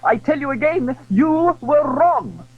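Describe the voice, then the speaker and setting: A man's voice: very high-pitched, expressive, slow, pitched above the performer's own voice, full character voice. A man voicing a displeased, haughty king in a period audio drama, pompous and reproachful. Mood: scolding. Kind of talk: period radio drama acting. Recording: dull, hissy old audio drama recording.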